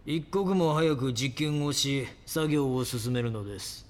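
An actor playing a grandiose, coldly fanatical cult leader who preaches solemnly and politely in an audio drama. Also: male voice, medium pitch, unhurried, lightly acted. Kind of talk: Japanese audio drama acting.

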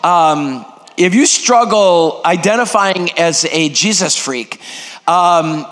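Older man, guest preacher, loud and energetic, high voice, long church-hall reverb. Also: takes it slowly. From Greek heritage. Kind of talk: energetic sermon with jokes. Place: large church sanctuary.

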